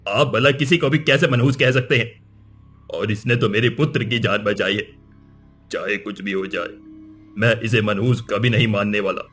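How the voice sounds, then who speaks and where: A man playing a stern older king in a cartoon story, speaking gravely and formally. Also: on the low side, normal speed, fairly bright tone, soft background music. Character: stern, deep-voiced older king, prince's father.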